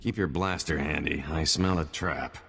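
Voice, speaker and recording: An actor playing a gruff, wary mercenary sidekick in a video game, with a husky voice and blunt, informal talk. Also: male voice, rumbling low, normal speed, fairly bright tone, faint music behind, full character voice. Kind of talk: video game dialogue acting. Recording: long hall reverb.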